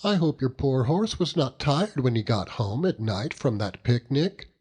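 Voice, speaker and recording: A man acts out a sweet, naive young lady from a classic novel. The voice is lifted and slightly husky, swinging up and down with coy, petulant innocence. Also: medium pitch, big pitch swings, a little slow, a little mellow, pitched above the performer's own voice. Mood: innocent concern. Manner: coy, ingenuous. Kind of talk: audiobook character voice.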